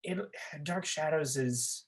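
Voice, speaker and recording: A man's voice: fairly high pitch, takes it slowly. A man talking as a guest in a casual discussion, hesitantly working through his thoughts as he goes. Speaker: podcast guest, fan community member.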